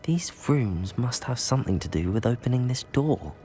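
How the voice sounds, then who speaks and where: Teenage boy wizard played by a young man, brave and curious, lively pitch swings, game dialogue over prominent music. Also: normal speed, close to an everyday voice. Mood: musing. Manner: musing aloud to himself. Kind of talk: video game voice acting. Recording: background music under the voice.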